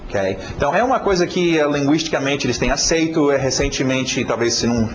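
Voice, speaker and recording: Animated American man teaching a lesson. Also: medium pitch, fast, slight background noise, poor audio. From United States. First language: English. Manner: animated, stretches out words and chants rhythm syllables to demonstrate. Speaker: English teacher. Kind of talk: video lesson.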